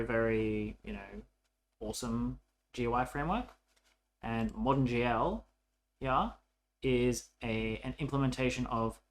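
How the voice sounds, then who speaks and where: A man's voice: mid-range voice, a little hiss or hum. A man narrating a casual coding tutorial as an instructor, informal and thinking aloud as he works.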